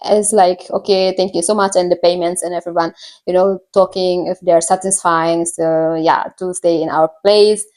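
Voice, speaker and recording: A woman's voice: lowish voice, fairly even intonation, moderate pace, darkish tone. A woman from Indonesia with an Indonesian accent tells a personal story to viewers casually, often searching for words and repeating herself. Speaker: vlogger.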